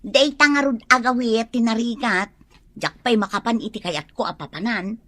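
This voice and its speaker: High-voiced, defiant Filipino mother. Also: moderate pace, a bit bright, no echo. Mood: bitter, complaining. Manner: defensive, complaining. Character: hard-pressed, defiant mother giving up her children. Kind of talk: radio drama acting.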